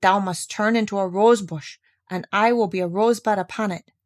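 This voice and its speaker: A woman's voice: mid-range voice, brisk, natural voice. Storybook little girl, voiced by a woman narrator: devoted, earnest, solemn in her promises. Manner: earnest, pleading, old-fashioned solemn phrasing.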